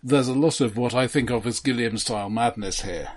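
A man discusses films as a podcast co-host in a mid-pitched voice. His delivery is considered and halting, often repeating a word before he moves on, and the tone is that of casual analysis.

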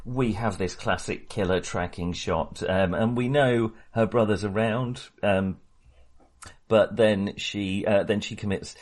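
A man's voice: on the low side, steady tone, unhurried. A man co-hosting a casual film discussion, talking in a hesitant, wry and opinionated way. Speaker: podcast co-host.

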